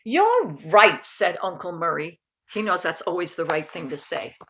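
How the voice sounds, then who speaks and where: A woman reading a children's picture book aloud in an expressive storytelling manner, with informal asides. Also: fairly low pitch, normal speed. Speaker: storybook reader.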